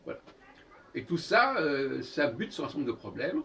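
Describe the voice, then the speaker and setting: A man gives an improvised, opinionated lecture, halting and correcting himself, repeating phrases for emphasis. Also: a little high, sing-song, vocal fry, a bit bright. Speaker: speaker giving a philosophical talk. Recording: distant microphone, noisy room ambience.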